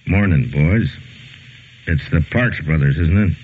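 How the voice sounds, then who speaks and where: Deep American male voice, stern weary frontier lawman, dry and steady, 1950s radio western, tinny old recording. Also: measured pace, a little hiss or hum. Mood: cool menace.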